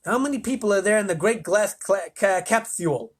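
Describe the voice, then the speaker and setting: A man voicing a pompous, hot-tempered American President in a storybook, barking impatiently in a raspy voice. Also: expressive, brisk. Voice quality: deep rasping. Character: blustering, hot-tempered male President of the United States.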